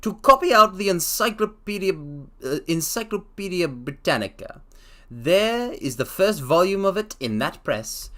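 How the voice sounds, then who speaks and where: A man voicing a formal older employer who speaks in a slow, measured, stately way. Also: high-pitched, animated, a bit bright, voice pushed up. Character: formal older male employer, pompous and deliberate.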